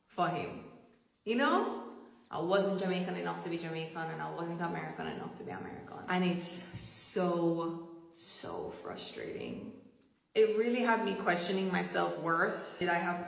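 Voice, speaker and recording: Earnest actress woman, lowish voice, big reverb. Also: vocal fry, a bit bright. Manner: earnest and candid. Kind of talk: personal vlog.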